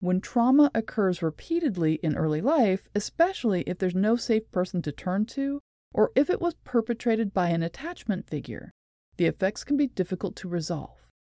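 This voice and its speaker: A woman presenting a scripted explanatory talk in a measured, deliberate and articulate way. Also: medium pitch, very animated, mellow, no room sound, studio quality. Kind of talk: scripted explanatory narration with read quotations.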